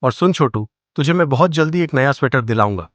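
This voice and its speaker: A man's voice: mid-range voice, lively intonation, brisk, no echo, natural voice. A man playing a poor, weary, kind woodcutter and family man in a cartoon moral story, speaking plaintively and earnestly. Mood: determined, comforting.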